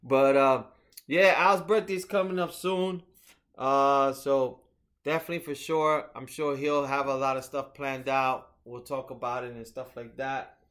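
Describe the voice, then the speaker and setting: A man's voice: medium pitch, steady tone, measured pace, a bit bright. A man in his forties co-hosting a podcast, chatting casually, laughing often and swearing freely. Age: 40s. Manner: laughs often, profane storytelling. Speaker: podcast co-host. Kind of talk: casual chat.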